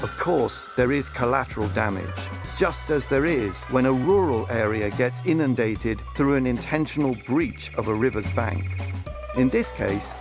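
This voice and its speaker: Male explainer narrator over music. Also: mid-range voice.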